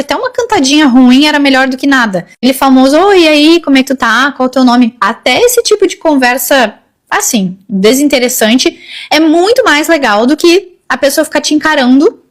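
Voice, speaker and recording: A woman chatting to viewers about dating, exasperated, often turning to them directly. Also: sits high. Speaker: single woman giving dating views. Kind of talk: casual chat to camera.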